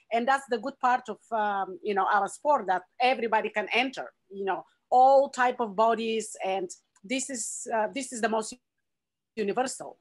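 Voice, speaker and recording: A Bulgarian-accented woman with a somewhat high voice, speaking over a video call. She searches for words with lots of fillers and pauses, then gets animated when she drives a point home. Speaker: former wrestler joining the talk.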